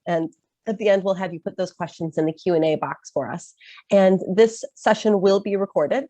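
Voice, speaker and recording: A woman's voice: mid-range voice, moderate pace, mellow, no room sound. A woman in her thirties from Chicago with an American accent, presenting an informational webinar while recovering from a cold, with frequent um fillers. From Chicago, USA. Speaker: study-abroad advisor, webinar presenter.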